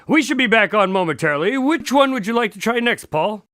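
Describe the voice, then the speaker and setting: Flustered genial sidekick in a radio play, high bright male voice, really animated. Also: brisk, no room sound, studio quality. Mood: genial hosting. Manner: animated, earnest, easily flustered. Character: excitable, genial male party host and detective's sidekick. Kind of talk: radio drama acting.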